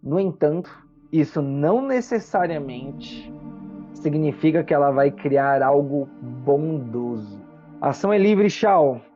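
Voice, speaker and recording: A mellow, warm-toned game master narrates a tabletop fantasy game on the fly. The phrasing is explanatory and halting. The sound is a little muffled, with faint music behind. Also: medium pitch, lively intonation.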